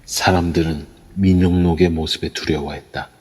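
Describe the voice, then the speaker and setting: A man's voice: low voice, steady tone, normal speed, a little mellow. A man reading scripted narration for an audio drama. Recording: long reverb throughout.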